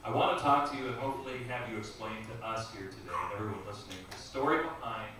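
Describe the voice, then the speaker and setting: A man hosting a live radio interview, putting halting questions to his guest. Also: on the low side, bright, forceful tone. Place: music store live event, indoors. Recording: echoey room, distant mic, noisy ambience.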